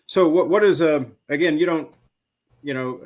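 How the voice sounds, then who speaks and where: A man's voice: a little high, normal speed, a little mellow. A man co-hosting a casual talk show, asking curious questions with many restarts and hesitations. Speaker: co-host. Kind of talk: casual chat.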